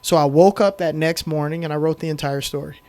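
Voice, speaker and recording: A middle-aged man telling his story as a podcast guest, emphatic and animated, with frequent "um"s. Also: on the high side, fast talker. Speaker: podcast guest, film director. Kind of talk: casual storytelling interview.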